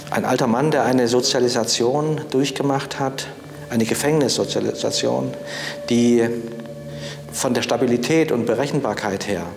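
A man's voice: mid-range voice, reverberant. A man giving a spontaneous spoken account in an interview.

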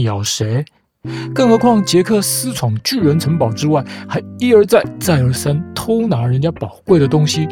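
Man, podcast host, playful, mock-reasonable, lively intonation, guitar music behind. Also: fairly high pitch. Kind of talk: storytelling commentary.

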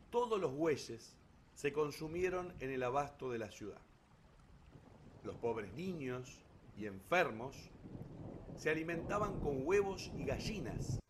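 A man narrating a literary story for radio theatre in an expressive, declamatory way with ironic emphasis. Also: fairly high pitch, noisy background.

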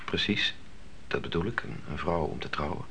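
An earnest grown son in a radio play, with a low-pitched voice. He questions and argues cautiously, often halting before he gets to the point. The old recording carries faint noise. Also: gravelly, sharp tone, exaggerated voice. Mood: cautious, determined. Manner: hesitant, halting, argumentative.

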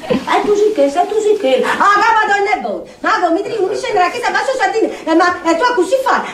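A woman's voice: very high-pitched, animated, fairly quick, voice pushed up. A woman performing a fretful, scolding Neapolitan wife and mother in a stage monologue, insistently piling up reproaches and warnings. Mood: furious scolding. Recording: echoey distant stage recording with noise.

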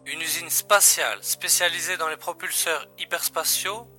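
A man's voice: on the high side, steady tone, normal speed, pushed and bright, faint music behind. An actor playing an agent's wry, familiar and matter-of-fact male colleague in a science-fiction audio drama.

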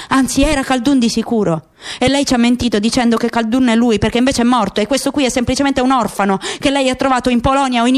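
A woman voicing a grieving, bitter middle-aged father in a radio play, speaking in measured, rhetorical phrases. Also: fairly high pitch, darkish tone, close to an everyday voice. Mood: grave accusation.

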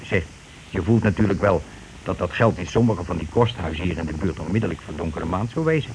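An actor playing a scheming, money-grabbing husband and landlord in a radio play, talking in wheedling, self-justifying colloquial patter. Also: male voice, sits low, animated, fairly quick, vocal fry, a little mellow. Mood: smug, self-justifying. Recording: noisy old radio drama recording.

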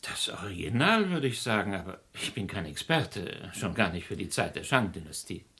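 A man's voice: low voice, big pitch swings, normal speed, pushed and bright, full character voice. An actor playing a busy, obliging businessman and art collector in a German radio crime play, polite, composed and formal. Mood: guarded, polite.

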